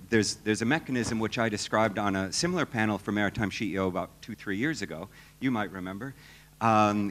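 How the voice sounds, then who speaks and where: A man answering as a panelist at a conference, measured and thoughtful, explaining his points step by step. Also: lowish voice, fairly bright tone.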